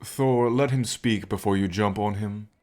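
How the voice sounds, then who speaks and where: Man playing a wry, lowish-voiced Norse god. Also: unhurried, darkish tone, close to an everyday voice. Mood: dry, sardonic. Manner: dry, sardonic. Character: wry, amused Norse god, level-headed onlooker. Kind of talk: audio roleplay acting.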